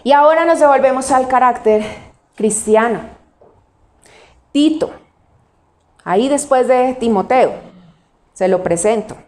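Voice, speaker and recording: A woman pastor from Colombia with a Cali accent, preaching a sermon. She puts questions to the congregation and answers them herself, and she slows down to stress single words. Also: sits high, lively intonation, darkish tone, echoey room. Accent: Cali Colombian Spanish.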